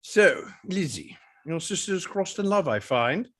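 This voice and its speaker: A man's voice: high-pitched, big pitch swings, a little slow, fairly bright tone, heavily acted. A man playing a wry, teasing older father, genteel and sardonic, in a staged reading of a period comedy. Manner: droll, sardonic.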